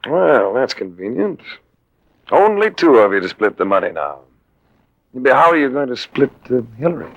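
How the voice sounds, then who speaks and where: An actor playing a shrewd, self-assured adventurer-investigator with a dry, needling manner in a late-1940s radio drama. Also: male voice, mid-range voice, big pitch swings, normal speed, vocal fry, dry recording, dull audio. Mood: sardonic needling. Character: shrewd, sardonic male adventurer-investigator accusing suspects. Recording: noisy vintage radio recording.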